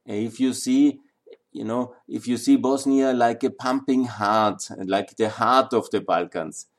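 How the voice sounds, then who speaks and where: A man from Austria with an Austrian German accent, lecturing an audience in a halting way with many fillers and restarts. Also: mid-range voice. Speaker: speaker on Balkan history.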